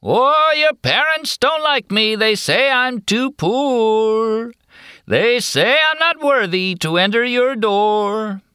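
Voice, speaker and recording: A male narrator as brash redheaded rogue, teasing, mock-innocent, lively swooping pitch, bright dry sound, audiobook character. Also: moderate pace, no room sound. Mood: boisterous singing. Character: brash, mocking redheaded male psychiatric patient.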